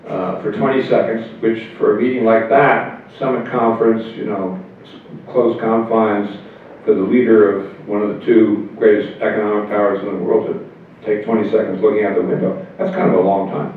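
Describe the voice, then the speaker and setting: An older man, a politician guest, telling wry anecdotes in a relaxed conversational way at a convention. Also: on the low side, moderate pace, slight background noise. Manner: wry and anecdotal, chuckles at his own jokes. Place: hotel meeting room at a convention. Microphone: table mic on a stand, heard from far. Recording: echoey room, far, phone-like thin sound.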